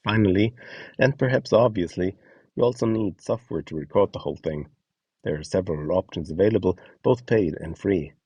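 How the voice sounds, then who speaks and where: A man with a low-pitched, mellow voice narrates a scripted guide as host and instructor. His tone is a little husky and the sound is close and dry, with each step laid out plainly. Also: fairly quick, no echo, far from the mic. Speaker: host and instructor of a voice donation project.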